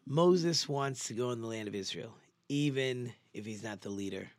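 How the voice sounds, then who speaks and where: Male, mid-pitched. Torah teacher, informal and conversational, stresses points by repeating them. Very dry recording. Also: normal speed, no room sound. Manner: conversational, rhetorical questions, emphatic repetition. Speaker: religious teacher giving a Torah class. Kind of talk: informal sermon lecture.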